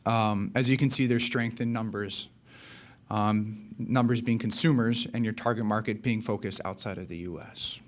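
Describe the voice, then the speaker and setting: A man's voice: fairly low pitch, steady tone, moderate pace. A man from Hawaii presents slides to a seminar audience. His delivery is polished and mostly scripted, broken by the odd filler. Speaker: account executive presenting.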